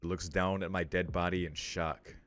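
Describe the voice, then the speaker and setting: Low-voiced man reading a game aloud. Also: flat delivery, normal speed, fairly bright tone, slight background noise. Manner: interjects with casual slang and swearing. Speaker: let's play host. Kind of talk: reading a visual novel aloud with casual reaction commentary.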